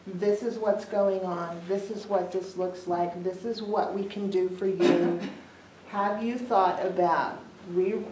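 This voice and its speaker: A middle-aged woman, a death doula on a panel, speaking earnestly and in a measured way to an audience. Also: mid-range voice, a little mellow. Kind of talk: personal talk to an audience. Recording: echoey room, far from the mic, some noise.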